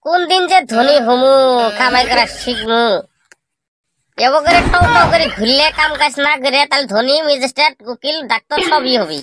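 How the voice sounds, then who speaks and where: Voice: high voice, normal speed, noisy background, lightly acted. A performer voicing a jobless, boastful young male village slacker in a comic cartoon, grumbling in a rural Bangladeshi dialect. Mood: lamenting.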